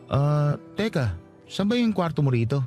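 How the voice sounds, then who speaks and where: Animated, earnest young Filipino man. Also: a little high, moderate pace, faint music behind. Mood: grateful, candid. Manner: earnest, flattering, humble. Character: earnest, flattering young provincial man, hotel janitor, late twenties. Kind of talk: radio drama acting in natural conversation.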